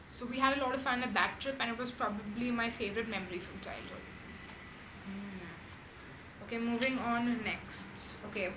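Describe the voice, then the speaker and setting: A young woman with an Indian English accent, chatting casually as a vlogger answering questions, with frequent ums and likes. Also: fairly high pitch. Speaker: beauty vlogger. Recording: echoey room with background noise.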